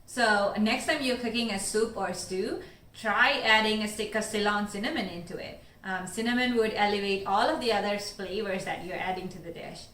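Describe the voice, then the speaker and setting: A woman from Sri Lanka talks about her spice business in earnest, measured answers, now and then pausing on an "uh" or "um". Her voice sits at an ordinary pitch for a woman. It is recorded in a room with a noticeable echo. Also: a bit bright. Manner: earnest, measured explanations with occasional fillers. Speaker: spice company founder. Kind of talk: scripted-sounding interview answers.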